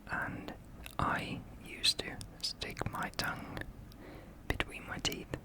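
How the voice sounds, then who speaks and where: A speaker from Finland with a Finnish accent, whispering an ASMR video softly and slowly, with small laughs. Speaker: ASMR creator.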